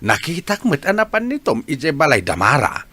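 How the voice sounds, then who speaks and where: A man's voice: fairly high pitch, huge pitch swings, moderate pace, pushed and bright, slightly rough, exaggerated voice. A man with an Ilocano accent playing a greedy, scheming, crude old husband in a radio drama. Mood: gleeful scheming. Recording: background music under the voice.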